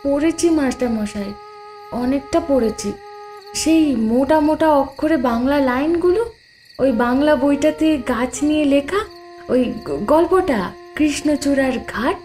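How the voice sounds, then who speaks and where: A woman's voice: high-pitched, a little slow, dark tone, soft background music. A woman playing a meek, sorrowful young village widow who believes herself cursed, in an audio drama. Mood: shy, earnest. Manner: meek, self-deprecating, plaintive. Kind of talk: dramatised audio horror story acting.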